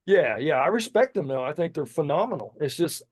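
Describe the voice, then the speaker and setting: A man's voice: on the high side, animated, brisk, noisy background. A man in his fifties, a singer and podcast guest, chatting casually and enthusiastically with lots of restarts. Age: 50s. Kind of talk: casual chat.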